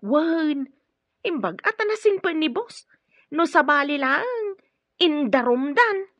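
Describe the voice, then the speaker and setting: An actress with an Ilocano Filipino accent playing an agreeable, gossipy household worker in a radio drama. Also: female voice, high-pitched, very animated, slow pace, a bit bright, no echo, heavily acted. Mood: chatty. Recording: dull, lo-fi radio drama recording.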